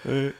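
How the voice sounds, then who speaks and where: A young man with a Québécois French accent, co-hosting a podcast in a relaxed, casual chat and telling stories with English slang mixed in. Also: a little high, breathy edge. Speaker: podcast co-host.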